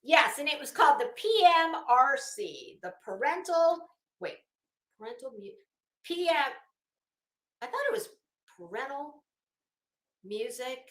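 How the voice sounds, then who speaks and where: A woman's voice: high voice, sing-song, measured pace, pauses often. A middle-aged woman giving casual livestream commentary in a brash, opinionated, sweary way, with dramatic emphasis. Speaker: livestream host. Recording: echoey room.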